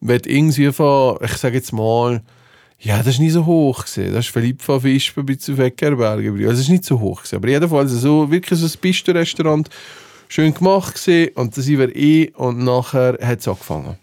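A man with a Swiss accent telling a casual anecdote in conversation, slangy and animated, acting out what people said. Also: medium pitch, brisk, no echo, clean studio sound. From Switzerland. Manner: animated storytelling with slangy swearing and acted-out quotes. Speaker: podcast host telling a personal story.

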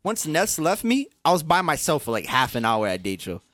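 Lively self-mocking man chatting. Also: fairly high pitch, brisk, no echo, clean studio sound. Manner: laughing, rambling, self-mocking. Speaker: podcast co-host. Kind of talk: casual chat.

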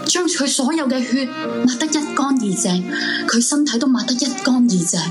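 A woman's voice: high voice, animated, moderate pace. A woman playing a troubled, sleepless young woman in a supernatural radio drama, narrating and speaking over music. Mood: eerie, intimate. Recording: music behind the voice.

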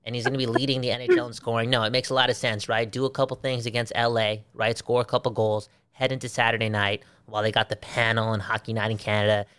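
Animated, swearing man on a dry mic. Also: lowish voice, clear, resonant tone, no echo. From Belgium. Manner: animated, swearing, repeats phrases. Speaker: sports podcast co-host. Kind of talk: casual sports chat.

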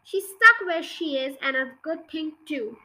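A woman's voice: high voice, expressive, a little slow, pushed and bright, heavily acted, poor audio. A woman reading aloud and voicing a dismissive, grumbling father. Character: grumbling, impatient farmer father.

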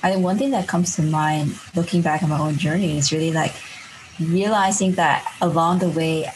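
Young woman from Singapore, low voice, chatty and reflective, faint background hiss. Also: poor audio. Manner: chatty, many fillers like "like", laughs often. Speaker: podcast guest. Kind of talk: reflective conversational storytelling.

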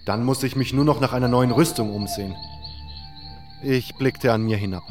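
A man delivers scripted first-person fantasy narration. His voice is of medium pitch. Music is clearly present behind the reading. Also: moderate pace, darkish tone. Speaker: audiobook narrator.

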